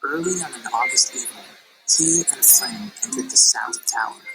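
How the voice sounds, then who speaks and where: A woman teacher reading a picture book aloud in an expressive storytelling voice, slowing down on dramatic phrases. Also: very deep voice, rough recording. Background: music.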